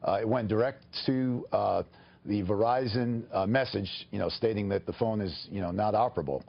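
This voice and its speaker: Lowish-voiced male attorney, halting and measured. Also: creaky, dull audio. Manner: halting, measured, formal explanations. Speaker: attorney, interview guest. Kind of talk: live TV news interview.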